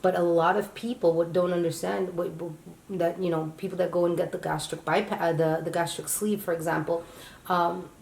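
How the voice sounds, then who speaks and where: A woman co-hosting a casual chat, halting as she speaks, often restarting her sentences while she searches for words. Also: lowish voice, little pitch movement, gravelly, darkish tone, reverberant. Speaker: co-host.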